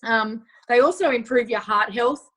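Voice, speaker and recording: A woman, croaky from yelling, giving an enthusiastic product pitch to a group and asking them questions. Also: normal speed, poor audio. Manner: enthusiastic, asks the audience questions, many fillers. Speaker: product presenter.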